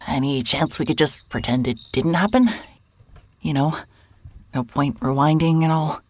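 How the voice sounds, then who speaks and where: An actress playing a weary, evasive woman in an audio drama, speaking haltingly and apologetically. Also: low voice, a little mellow. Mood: subdued, evasive. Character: weary, evasive woman, a traveller staying at a motel. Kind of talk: naturalistic audio drama acting.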